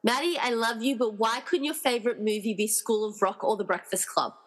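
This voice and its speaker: Radio-play heroine played by a woman: higher-pitched, worried yet determined, wry, in a reverberant space. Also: brisk, natural voice. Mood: wry, uneasy. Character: anxious, determined woman hero rescuing her friends. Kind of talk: amateur radio-play acting.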